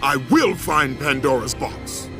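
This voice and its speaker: A slow, vengeful Spartan warrior. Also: male voice, low-pitched. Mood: vengeful defiance. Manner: commanding, intense, slow emphatic delivery. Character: brutal, vengeful male Spartan warrior captain. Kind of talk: dramatic video game acting. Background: dramatic music.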